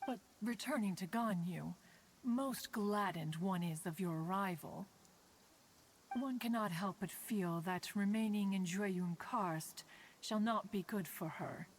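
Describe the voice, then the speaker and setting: A woman's voice: mid-range voice, slight background noise. A woman voicing an ancient, dignified, motherly female immortal in a video game, with stately, archaic formal speech. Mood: composed. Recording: soft game music behind the voice.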